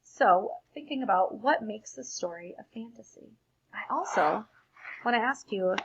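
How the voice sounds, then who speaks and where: A woman reading a storybook aloud expressively for children, like a teacher pausing to explain things to young listeners. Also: mid-range voice, expressive, slightly rough, dull audio.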